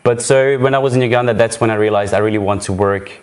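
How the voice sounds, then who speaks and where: A man's voice: on the low side, quick pace, clean tone. A young man from Zurich introducing himself on a live show, friendly and enthusiastic, with slightly phrasing. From Switzerland, Zurich. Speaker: guest, wildlife photographer. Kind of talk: casual self-introduction.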